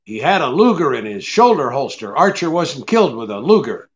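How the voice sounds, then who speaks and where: A man's voice: medium pitch, big pitch swings, brisk, muffled recording, lightly acted. A man playing a wry, tough, unflappable private detective in a 1940s-style radio drama, with an offhand way of questioning. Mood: brusque, probing.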